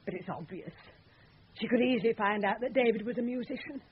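A woman's voice: fairly high pitch, a little fast, breathy edge. A woman acting in a radio drama as a scornful, exasperated wife with a mocking, cutting manner. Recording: tinny, band-limited radio drama recording.

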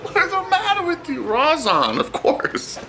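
A man's voice: very high-pitched, wildly swooping pitch, moderate pace, a crowd in the background. A young man in his twenties chatting playfully to his handheld camera in a small apartment. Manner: playful, teasing, loose and jokey. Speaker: vlogger. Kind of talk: casual home vlog chat. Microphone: handheld camera at arm's length.

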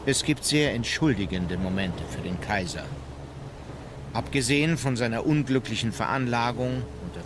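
A man playing a measured, critical courtier giving a formal recollection in a radio play. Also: mid-range voice, noisy background, lightly acted. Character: measured, critical male witness from the Kaiser's entourage.

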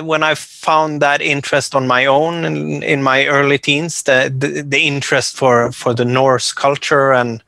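A man from Norway speaks English with a Norwegian accent, pausing often and restarting his sentences. His voice is bright and forceful. The recording is dry, with no room sound. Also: medium pitch, creaky, no echo. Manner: hesitant, many fillers and restarts. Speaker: composer guest. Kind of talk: casual interview talk.

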